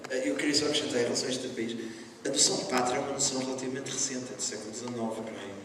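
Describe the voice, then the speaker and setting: A man's voice: medium pitch, steady tone, very fast. Earnest guy from lisbon on a panel, big echoey hall, distant mic, lots of noise. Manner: earnest, repeats phrases for emphasis. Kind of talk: panel talk to students. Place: school hall. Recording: echoey hall from far off, noisy.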